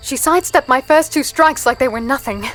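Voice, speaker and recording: High-voiced, plucky young-heroine fighter. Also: female voice, brisk, a bit bright, faint music behind. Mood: tense storytelling. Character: plucky, spirited small female fighter and archer. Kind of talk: first-person dramatic narration and dialogue.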